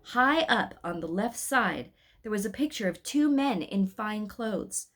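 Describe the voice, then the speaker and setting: A woman's voice: mid-range voice, animated, measured pace, fairly bright tone. Woman reading a story aloud. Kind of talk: scripted story read-aloud.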